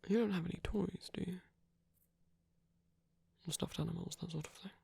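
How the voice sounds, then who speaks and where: Performer as a breathy, creaky, tender villain. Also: medium pitch, moderate pace, no echo, full character voice. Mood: affectionate, coaxing. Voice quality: breathy, husky, often whispering, creaky. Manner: gentle, hesitant, reassuring. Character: soft-spoken villain, tender and protective toward abandoned hero. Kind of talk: intimate romantic ASMR roleplay.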